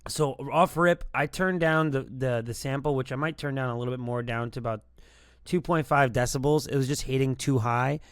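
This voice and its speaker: A man's voice: medium pitch, a little fast, clean tone, dry recording. Dry-sounding man hosting a casual tutorial. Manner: informal, rambling explanations. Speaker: music production tutorial host.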